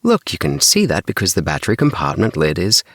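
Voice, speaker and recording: A man's voice: low-pitched, huge pitch swings, a little fast, fairly bright tone, no echo, clean studio sound, voice pushed up, exaggerated voice. A man voicing an earnest, defensive child inventor with an insistent way of talking, in a children's audiobook. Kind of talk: children's audiobook character dialogue.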